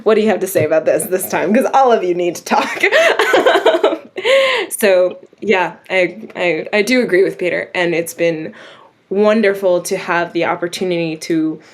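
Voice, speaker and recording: A young woman, a script writer, talking casually in an interview, animated and quick to laugh. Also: on the low side. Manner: animated, laughs often, acts out her own thoughts.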